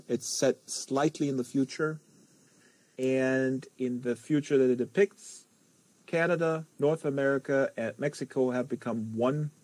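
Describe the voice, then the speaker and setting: Male stream host, soft mellow tone, dry close sound, rambling and conversational delivery. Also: mid-range voice, dry recording, rough recording. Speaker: host of a live book-reading stream. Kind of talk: casual livestream chat.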